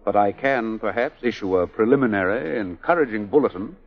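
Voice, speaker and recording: Romantic doctor: courtly, measured, gently teasing, 1940s radio acting, muffled broadcast. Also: male voice, on the low side, expressive, breathy edge. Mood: gallant, teasing. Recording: dull 1940s radio broadcast, music behind.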